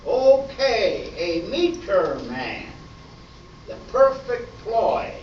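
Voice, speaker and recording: A man playing a deadpan police sergeant in an amateur radio play, recorded at a distance in an echoey room. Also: high-pitched, lo-fi sound, a little hiss or hum, exaggerated voice. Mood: deadpan, businesslike. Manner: clipped, deliberate, alliterative police-procedural delivery. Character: deadpan male police sergeant narrating his case.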